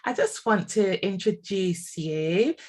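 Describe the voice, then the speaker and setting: A woman's voice: medium pitch, lively intonation, slow. A woman from England hosting a podcast interview, talking casually with frequent ums and restarts. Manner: chatty, warm-hearted, many ums and restarts. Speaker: podcast host.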